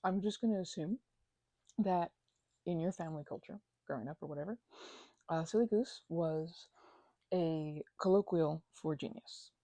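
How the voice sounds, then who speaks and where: A woman playing a chatty, supportive, slightly weary housemate in an intimate ASMR roleplay, rambling and hesitant in a soft, playful, conversational way. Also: on the low side, moderate pace, pauses often, no echo. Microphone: close microphone, dry.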